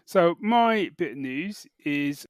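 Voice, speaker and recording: A man's voice: a little high, expressive, takes it slowly, clear, resonant tone, no echo, studio quality. A British man co-hosting a podcast, explaining things in casual, informal chat with some hesitant phrasing. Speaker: podcast co-host reporting news.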